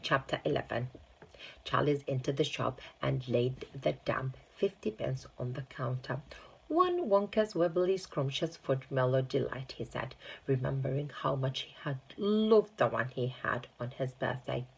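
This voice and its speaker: A woman narrates a children's story in a low-pitched voice. It is husky, with plenty of gravelly creak at the edges. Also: normal speed, low-quality recording. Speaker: teaching assistant reading aloud.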